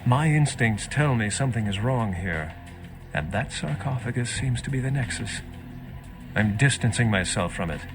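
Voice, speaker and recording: A man's voice: medium pitch, expressive, lightly acted. Casting: male actor as learned, cautious vampire for a game, mid-range voice, earnest and erudite, music underneath. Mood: uneasy, earnest. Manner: measured, erudite, earnest warning. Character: grave, learned vampire scholar, cautious and wary. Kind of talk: video game voice acting.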